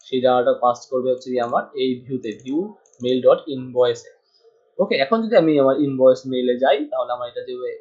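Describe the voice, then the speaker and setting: A man's voice: medium pitch, mellow. A man from Bangladesh teaching a coding tutorial, thinking aloud as he types and mixing in English terms. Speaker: programming tutor. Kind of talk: screen-recorded coding tutorial. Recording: dull lo-fi sound.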